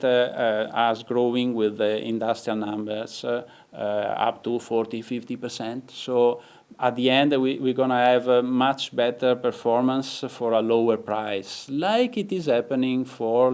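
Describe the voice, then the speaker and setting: A man's voice: medium pitch, a little mellow, clean tone. A man with an Italian accent, a company CEO answering interview questions with frequent hesitations and restarts. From Italy. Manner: hesitant, many fillers and restarts.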